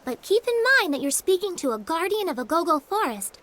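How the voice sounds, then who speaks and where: An actress playing a composed young guardian in a game cutscene, speaking firmly and calmly. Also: female voice, very high-pitched, exaggerated voice. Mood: calm bluff. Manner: calm, firm, measured. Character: calm, composed girl guardian. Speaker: forest guardian. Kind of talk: video game cutscene acting.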